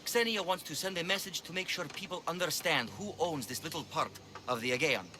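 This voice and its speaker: A man's voice: on the high side, fairly quick, background noise, close to an everyday voice. A man playing an overworked, ruthless pirate lieutenant in a video game, blunt and world-weary. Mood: forceful, satisfied. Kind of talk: video game cutscene acting.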